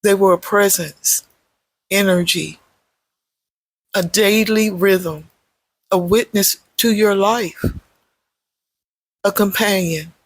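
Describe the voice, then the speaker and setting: A meditation guide with a husky, creaky voice, speaking gently in short, measured phrases with pauses between them. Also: mid-range voice, no room sound. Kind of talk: guided meditation, unscripted spoken guidance.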